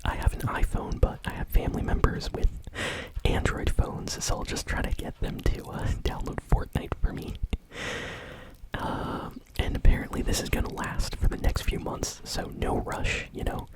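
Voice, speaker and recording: A woman hosting gaming news in an ASMR style, speaking in a soft breathy whisper with a casual, chatty, unhurried way of explaining. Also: poor audio.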